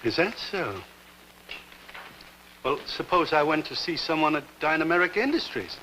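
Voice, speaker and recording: A man's voice: a little high, big pitch swings, moderate pace, sharp tone, a little hiss or hum, low-quality recording. An older actor playing a mild, polite grandfather who has been forced to retire, in a 1950s television drama. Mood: earnest. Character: gentle, wistful old grandfather.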